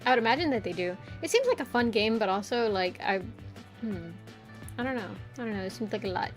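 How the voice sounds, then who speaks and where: A woman's voice: fairly high pitch, expressive, fairly quick, soft background music. Giggly, rambling young woman streamer. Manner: rambling, lots of "like" fillers; giggly, playful voice play. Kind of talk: casual chat while drawing on stream.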